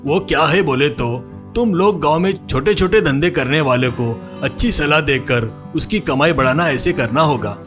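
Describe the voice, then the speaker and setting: A man's voice: fairly high pitch, moderate pace, hardly any pauses, a bit bright, soft background music, close to an everyday voice. A man voicing a college lecturer in a cartoon story, addressing students in a formal, instructive way.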